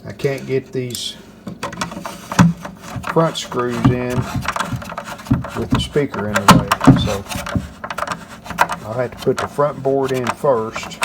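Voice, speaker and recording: A man's voice: mid-range voice, slow pace, long pauses. A man casually narrating his hands-on repair work step by step, plain-spoken and matter-of-fact. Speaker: restoration video host. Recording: clearly noticeable background noise.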